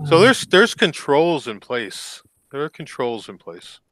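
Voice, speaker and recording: A man's voice: fairly high pitch, big pitch swings, unhurried, a bit bright, dry recording, rough recording. A man talking in a casual group chat on a call, teasing and upbeat.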